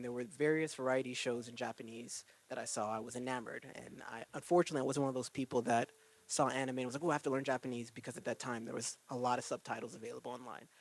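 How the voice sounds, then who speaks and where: Male panelist, relaxed, wry storyteller, mid-range voice. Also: mid-range voice. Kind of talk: answering on a panel. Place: event room with audience.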